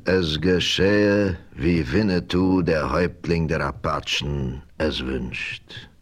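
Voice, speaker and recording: A proud chief in a radio play gives his word in a very deep, rumbling voice. He speaks slowly and with ceremony, dwelling on each phrase. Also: fairly even intonation, exaggerated voice. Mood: solemn. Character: proud Osage chief, solemn and grave. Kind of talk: 1970s radio play acting.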